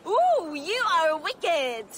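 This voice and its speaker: A woman's voice: extremely high pitch, sing-song, very slow, a bit bright, a little hiss or hum, heavily acted. A woman playing a flirtatious, sly female rogue in a video game, teasing and drawling. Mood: teasing, seductive. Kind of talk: dramatic video game cutscene acting.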